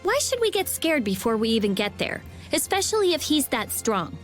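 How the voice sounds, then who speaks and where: A woman's voice: on the high side, very animated, normal speed, soft background music. A woman voicing a blunt, feisty teenage girl in an anime-style video game. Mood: dismissive.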